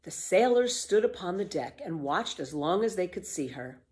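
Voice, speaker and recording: A woman reading a children's book aloud as a storyteller, with expressive, emphatic scripted narration. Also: on the low side, normal speed.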